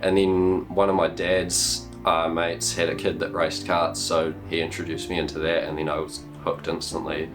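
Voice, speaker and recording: Deep-voiced eighteen-year-old New man. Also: level tone, normal speed, hardly any pauses, low-quality recording. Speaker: drift driver interviewee. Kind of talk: documentary interview. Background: guitar music.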